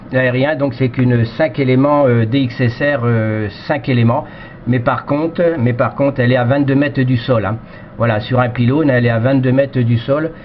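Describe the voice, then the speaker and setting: Man from France, radio operator, muffled lo-fi transmission, some noise, rambling casual chat, frequent verbal tags. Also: mid-range voice. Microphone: cheap headset microphone. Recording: dull, noisy radio transmission.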